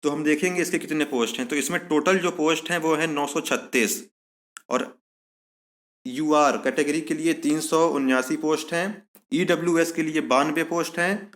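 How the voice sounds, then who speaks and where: A man with a slightly high voice. He explains official details step by step like an online instructor, restating key points so they stick. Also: fairly bright tone. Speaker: exam information channel host. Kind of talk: explanatory walkthrough of a recruitment notice.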